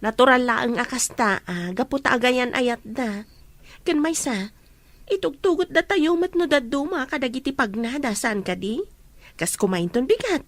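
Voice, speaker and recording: A woman's voice: sits high, lively intonation, moderate pace, dry recording. A woman with a Filipino accent playing a chatty, teasing young office friend in an Ilocano audio drama. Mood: insistent.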